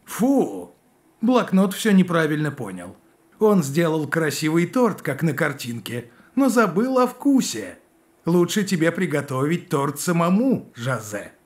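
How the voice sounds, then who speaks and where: A man voicing a curious, cautioning cartoon companion in a children's show, with expressive, advising delivery. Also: fairly high pitch, unhurried. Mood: doubtful, advising. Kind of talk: animated children's cartoon dialogue.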